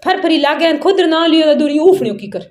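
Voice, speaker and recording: A woman with an extremely high-pitched voice and a Rajasthani accent argues over a phone call. She is defiant and keeps retorting to the other side. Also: lively intonation, fast talker, darkish tone, echoey room. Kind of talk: argument on a recorded phone call.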